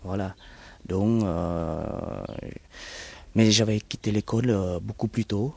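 A man from South Vietnam speaking, recounting his past in an interview with frequent hesitant pauses and fillers. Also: lowish voice, gravelly, darkish tone, husky, dry recording. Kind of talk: recounting personal history.

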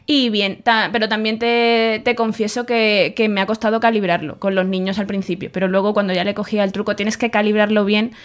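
A woman's voice: a little fast, hardly any pauses. A woman in her thirties, co-hosting a video game podcast, chatting animatedly from a home studio beside her co-host. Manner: chatty and animated, acts out family quotes in higher voices. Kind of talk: casual chat.

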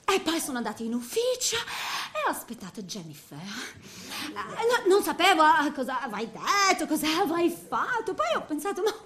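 Shrill, volatile jealous Frenchwoman. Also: big pitch swings, normal speed, hardly any pauses. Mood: brittle self-justification. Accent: French accent in Italian. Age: middle-aged. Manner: volatile, swinging from hushed menace to shrieks. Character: jealous, vindictive middle-aged Frenchwoman, theatrical and unhinged. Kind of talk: theatrical comic monologue.

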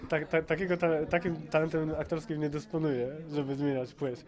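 A man's voice: a little high, little pitch movement, brisk, noisy background. A man giving a casual interview, hesitant and often repeating words, with a tongue-in-cheek streak. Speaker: amateur voice actor being interviewed. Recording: busy crowd chatter behind. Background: crowd chatter.

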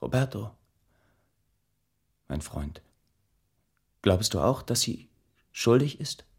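A desperate young man in a radio play. His delivery is anguished and dramatic, with big swings in pitch. He sounds ready to give himself up. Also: big pitch swings, takes it slowly, creaky, husky, full character voice. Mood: despairing. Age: young adult. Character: desperate, self-sacrificing young man in love, fatalistic. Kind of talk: German radio play acting.

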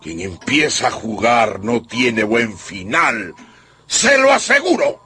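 An actor playing a stern, lecturing general and head of a family in a radio drama, authoritative and reproving. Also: male voice, medium pitch, sing-song, takes it slowly, bright, forceful tone, exaggerated voice. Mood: stern reproof. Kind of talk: Spanish-language radio drama acting.

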